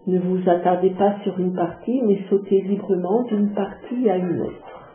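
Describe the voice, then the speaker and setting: A woman leading a guided yoga relaxation, giving calm, measured instructions with long pauses. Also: lowish voice. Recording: muffled phone-like sound, echoey, music behind.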